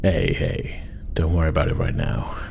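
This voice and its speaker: Man playing a low, grave starship officer. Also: level tone, normal speed, gravelly, darkish tone, a little hiss or hum. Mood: hushed, consoling. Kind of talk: dramatic sci-fi roleplay.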